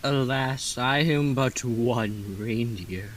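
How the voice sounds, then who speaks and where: A man's voice: mid-range voice, no room sound. A man playing a tormented, self-pitying reindeer as a tragic Shakespearean hero in a radio play, brooding melodramatically. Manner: melodramatic, brooding soliloquy with drawn-out pauses.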